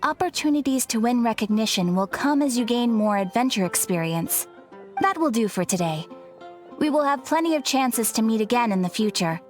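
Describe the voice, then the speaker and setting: A woman's voice: fairly high pitch, lively intonation, soft background music, close to an everyday voice. An actress voicing a poised, helpful female adventurers' guild guide in a video game, speaking formally and instructively. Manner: formal, instructive, measured phrasing.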